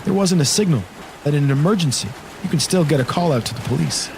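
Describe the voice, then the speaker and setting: A man's voice: fairly high pitch, animated, quick pace, gravelly, heavy background noise. A man narrating a scripted horror audio drama in a dramatic storytelling style. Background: storm rain sound effects and music.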